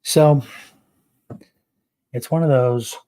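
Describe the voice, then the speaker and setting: A man's voice: mid-range voice, fairly even intonation, drawn-out words. A man hosting his own live online show, chatting casually and keeping his voice down, with many fillers as he rambles. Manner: talks softly to keep quiet, meandering with many fillers. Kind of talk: casual live-stream chat. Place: indoors, away from home on a trip.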